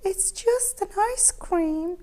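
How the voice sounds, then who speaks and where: A woman's voice: extremely high pitch, expressive, takes it slowly, mellow, pitched above the performer's own voice, exaggerated voice. A woman voicing a shy, flustered boy cat in a storybook, stammering and squealing as he bluffs. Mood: sad admission.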